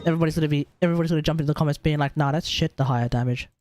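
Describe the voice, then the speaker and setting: A man's voice: fairly high pitch, very fast, a little mellow. An Australian man giving casual, rambling game commentary on a livestream, often talking to himself.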